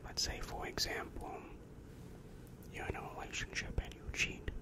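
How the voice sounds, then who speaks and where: A young man in a whispered, breathy ASMR roleplay as a demon counselor, asking questions softly very close to the microphone. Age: young adult. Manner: slow, measured, soft questioning. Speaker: ASMR performer. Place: small dim room indoors. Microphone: large microphone very close to the mouth.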